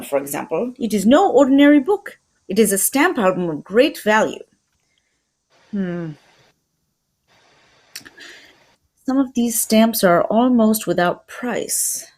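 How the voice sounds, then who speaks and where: Radio mystery: woman as observant, courteous Belgian sleuth with French-tinged accent, high for the part, very varied intonation. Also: sing-song, a little mellow, slightly rough, exaggerated voice. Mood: intrigued. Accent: put-on Belgian French. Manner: formal, deliberate, sprinkles French words. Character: polite, shrewd Belgian male detective, unhurried and observant. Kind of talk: old-time radio mystery acting.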